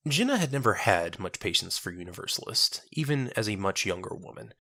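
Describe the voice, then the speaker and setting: Low-pitched, bright male story narrator. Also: animated, a little fast, gravelly, dry recording. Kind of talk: scripted dramatic story narration.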